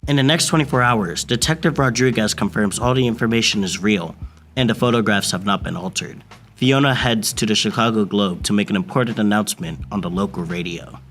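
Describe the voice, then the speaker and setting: A man's voice: on the low side, brisk, fairly bright tone, a little hiss or hum. A young man giving a dramatic scripted narration for an amateur radio mystery. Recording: music under the voice.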